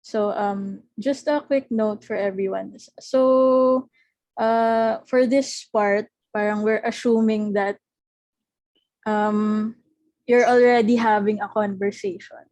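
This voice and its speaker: A woman's voice: medium pitch, fairly even intonation, slow, darkish tone, no room sound. A woman with a Filipino accent reading stage directions and giving informal instructions on a video call. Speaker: narrator reading stage directions at a table read. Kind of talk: scripted narration with informal instructions.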